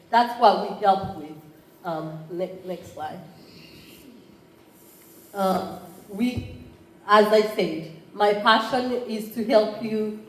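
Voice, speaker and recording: A woman in her thirties from Rwanda with a Rwandan accent, giving a conversational conference talk with frequent fillers and restarts. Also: medium pitch. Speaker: entrepreneurship program chair. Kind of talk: conference presentation. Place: conference room. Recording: roomy, faint background ambience.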